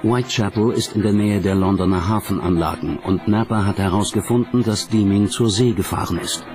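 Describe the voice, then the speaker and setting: Guy narrating a dark documentary, measured n dramatic, lowish voice, music under it.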